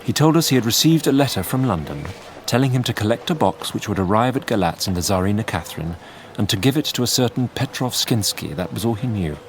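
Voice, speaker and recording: A man's voice: fairly low pitch, lively intonation, fast talker, few pauses, slight background noise. An actor playing an earnest, determined young Victorian husband, narrating his diary in a formal, urgent manner for an audio drama. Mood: sober, measured.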